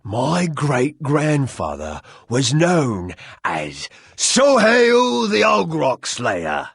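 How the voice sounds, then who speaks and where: Game role: husky tipsy townsman, self-pitying, slow rambling delivery with swooping pitch. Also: wildly swooping pitch, no echo, studio quality, exaggerated voice. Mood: amused, rambling. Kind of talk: video game character acting.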